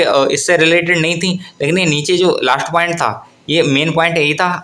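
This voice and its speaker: A man presents an informal explainer update. His voice sits a little high and has a sharp, bright edge. He talks in an explanatory way, often rewording what he has just said. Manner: explanatory, often rephrases and repeats points. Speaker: host of an exam news channel. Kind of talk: informal explainer update on government exam news.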